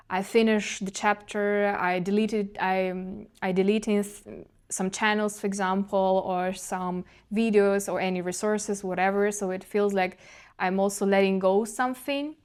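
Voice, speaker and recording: A young woman with a Ukrainian accent, speaking English as a second language, muses aloud in a relaxed vlog. Her voice is smooth and clear at a middle pitch. She often stops to search for a word and starts the phrase again. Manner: searching for words, frequent restarts. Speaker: vlogger. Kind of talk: casual reflective monologue.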